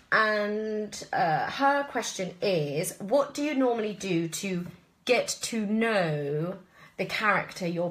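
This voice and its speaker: A woman's voice: on the low side, fairly bright tone. A woman with an English accent, an actress casually answering audience questions in a live chat, thinking aloud and often hesitating. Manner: hesitant, thinking aloud, frequent throat-clearing.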